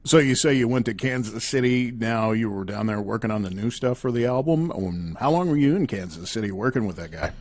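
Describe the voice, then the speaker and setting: A man's voice: on the low side, animated, brisk, hardly any pauses, a little mellow. Male music show host. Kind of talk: upbeat music interview hosting.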